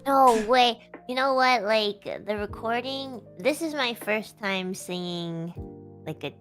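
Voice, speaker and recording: A woman's voice: a little high, slow, soft background music. A woman whose first language is Chinese, chatting casually and awkwardly on a stream, giggling and praising her friend. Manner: giggly, awkward, warmly complimenting. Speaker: guest streamer.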